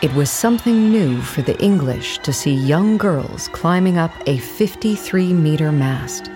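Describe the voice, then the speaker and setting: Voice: medium pitch, natural voice. A narrator reading a scripted documentary account for an audio play in a formal, factual manner.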